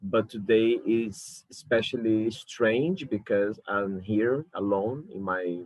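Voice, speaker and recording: A man's voice: fairly low pitch, slow, darkish tone, no echo, low-quality recording. A man in his thirties from Brazil with a Brazilian Portuguese accent, speaking English as a second language in a recorded video talk from his apartment, thoughtful and often correcting himself. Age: 30s. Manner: thoughtful, self-correcting, frequent hesitations. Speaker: curator and lecturer. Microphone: camera mic.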